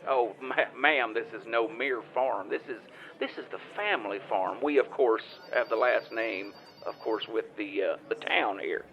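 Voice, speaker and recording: A man playing a devout, flustered farmer with a Southern US accent, stammering and rambling through a phone call in an improvised comedic audio drama. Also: medium pitch. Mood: agitated, earnest. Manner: rambling, stammering, halting, folksy. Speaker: caller.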